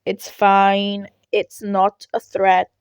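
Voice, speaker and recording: Woman as a tired deadpan male teacher. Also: mid-range voice, extremely slow, clear, resonant tone, no echo. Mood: resigned. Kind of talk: fan fiction audio reading.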